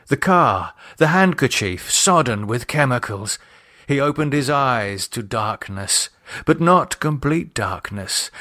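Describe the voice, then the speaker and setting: A man reading a horror novel as a dramatic audiobook, measured and expressive in his delivery. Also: mid-range voice. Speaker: author and narrator reading own novel.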